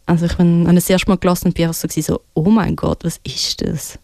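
A woman's voice: lowish voice, little pitch movement, quick pace, vocal fry, mellow, no echo. Swiss German woman chatting enthusiastically, close and dry. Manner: enthusiastic, informal. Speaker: radio music show presenter or guest. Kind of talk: casual chat introducing music.

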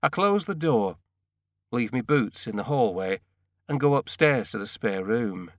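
A man reading a first-person novel as an audiobook narrator, measured and deadpan. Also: mid-range voice, dry recording, clean studio sound.

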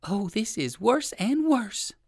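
A storyteller voicing a polite, worried old gentleman rabbit, mild and plaintive, in a children's storybook. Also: mid-range voice, very animated, unhurried, no echo, studio quality. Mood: despairing.

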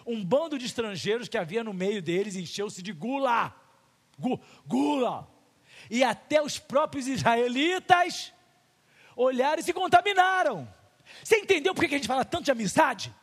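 A man's voice: very high-pitched, sing-song, moderate pace, husky, long reverb tail. A male pastor with a Brazilian Portuguese accent preaching a sermon in a church, full of rhetorical questions and acted-out little dialogues.

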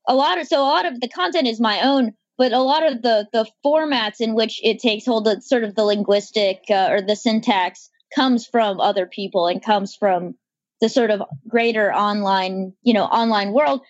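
A woman's voice: fairly high pitch, normal speed, dry recording, highs cut off. Chatty young woman with slight Southern accent. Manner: chatty, wry, many fillers and restarts. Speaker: guest, architecture blogger. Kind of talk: casual interview chat.

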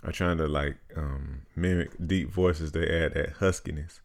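Deep-voiced man co-hosting a podcast. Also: fairly even intonation, unhurried. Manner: rambling, self-correcting, many fillers, not husky. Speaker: podcast co-host. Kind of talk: casual faith podcast chat.

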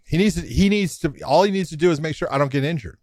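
A man's voice: a little high, fast talker, a little mellow, no echo, clean studio sound. A man co-hosting a casual sports chat, blunt and stammering at the start of his points. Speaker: podcast co-host, fighter.

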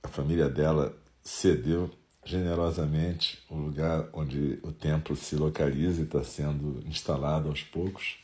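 A Brazilian man with a nasal, stuffy voice from a head cold, calmly leading a Zen meditation talk. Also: a bass voice, measured pace. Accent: Brazilian Portuguese.

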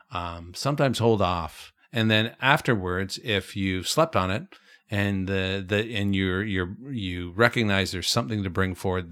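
A man co-hosting a podcast, talking in a thoughtful, reflective way with frequent pauses and restarts. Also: deep voice, fairly bright tone, no echo. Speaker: podcast co-host. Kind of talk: casual reflective chat.

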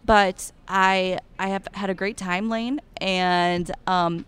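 A woman's voice: mid-range voice, slow pace, smooth. A young woman with an Iowan accent, chatting enthusiastically as an interview guest about being a cattle ambassador. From Iowa. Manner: bubbly and enthusiastic, gushing with excitement. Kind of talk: casual interview chat.

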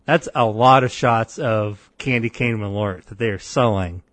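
A deep-voiced man co-hosting a podcast, telling a rambling story full of restarts and quoted voices. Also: unhurried, fairly bright tone, dry recording. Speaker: podcast co-host. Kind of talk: casual podcast banter.

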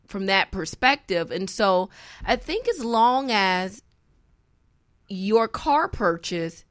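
A woman's voice: medium pitch, big pitch swings, slow, dry recording, studio quality. An American woman speaking as a panelist in a casual discussion, explaining her points and repeating key phrases for emphasis.

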